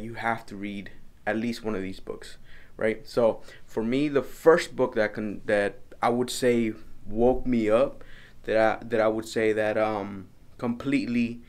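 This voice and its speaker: A young man from El Salvador, talking earnestly to camera from his home office, often repeating and restarting his phrases. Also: fairly low pitch. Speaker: business vlogger. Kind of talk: casual vlog talk to camera.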